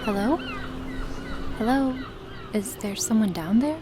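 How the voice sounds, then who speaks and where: A woman's voice: a little high, expressive, measured pace, background noise. A woman playing a wry, helpful, unflappable young woman with a dry, teasing manner in a comic dub. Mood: calm, curious.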